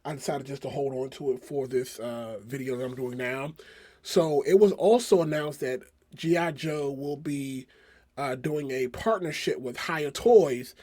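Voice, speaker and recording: A man with a hoarse voice from a cold, talking casually through a toy review with lots of fillers. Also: medium pitch, measured pace, darkish tone. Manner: rambling, many fillers and restarts. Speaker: toy collector vlogger.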